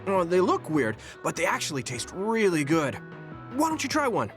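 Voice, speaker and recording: An actor playing an earnest, kind young soldier in a video game, with a gentle and friendly way of talking. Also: male voice, sits high, wildly swooping pitch, moderate pace. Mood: friendly, upbeat. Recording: background music over dialogue.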